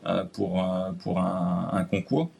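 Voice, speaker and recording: Gravelly Réunionese man with a low voice. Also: completely flat delivery, slow, a little mellow. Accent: Réunionese French. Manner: hesitant, many "euh" fillers, creole-tinged phrasing. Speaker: guest. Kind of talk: casual interview chat.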